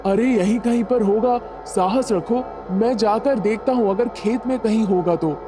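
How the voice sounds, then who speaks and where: A woman's voice: on the high side, measured pace, music in the background, close to an everyday voice. A woman voicing an earnest, plain-spoken village man in a storybook tale. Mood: reassuring. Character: earnest village men: vendor, helpful neighbours.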